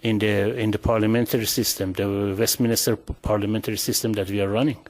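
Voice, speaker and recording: A man hosting a panel discussion, talking in a halting way with many restarts and fillers. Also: fairly low pitch, flat delivery, a little mellow. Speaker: host.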